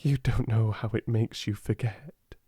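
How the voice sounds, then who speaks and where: A man's voice: on the low side, steady tone, measured pace, dark tone, husky, no room sound. A man voicing a brave, imaginative little girl with a British accent, earnest and kindly in a storybook reading. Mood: stout, wistful.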